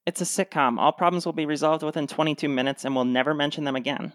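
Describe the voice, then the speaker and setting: A woman playing a blunt, snarky sitcom sibling in a comic radio play, with deadpan sarcasm. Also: low voice, fast talker, dry recording, studio quality, lightly acted. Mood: dry, sarcastic.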